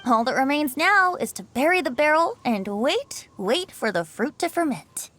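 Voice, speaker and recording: A high-voiced, playful boyish bard. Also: female voice, lively intonation, normal speed, a bit bright, soft background music. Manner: florid, self-assured. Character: playful, theatrical youthful male bard. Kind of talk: video game voice acting.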